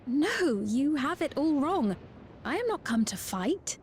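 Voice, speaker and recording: An actress playing a gracious, soft-spoken young queen in a video game, with formal, measured, courteous diction. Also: high voice, big pitch swings, a little hiss or hum. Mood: earnest, measured. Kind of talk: scripted video game voice acting.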